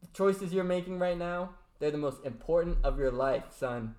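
A man's voice: a little high, moderate pace, darkish tone, natural voice. A man playing a grave, advising middle-aged father in an amateur radio play. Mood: solemn. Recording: roomy, echoing room.

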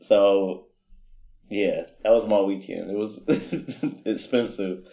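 Slow, wry man telling a story. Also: on the low side, creaky, warm tone, highs cut off. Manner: wry, self-mocking, laughs through stories. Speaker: podcast guest. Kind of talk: casual storytelling.

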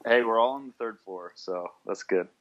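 A man's voice: on the low side, normal speed, muffled recording. A thirty-year-old man from the United States, a podcast guest calling in remotely and speaking in an easygoing, plain-spoken way. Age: 30s. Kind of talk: casual interview. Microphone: remote call.

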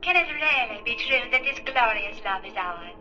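A woman's voice: a little high, lively intonation, normal speed. A woman playing a melodramatic, swooning romantic heroine in a 1940s film parody. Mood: yearning. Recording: muffled old broadcast, soft music behind.

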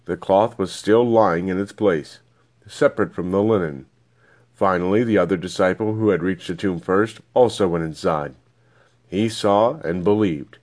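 Low-pitched man reading scripture. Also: normal speed, a little mellow. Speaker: Bible reader.

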